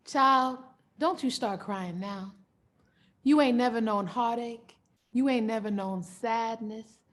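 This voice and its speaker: A woman playing an anguished, fiercely loving enslaved mother in a dramatic stage monologue, speaking emphatically with heavy pauses. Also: medium pitch, a little mellow, lightly acted. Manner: slow, emphatic, repetitive delivery with dramatic pauses.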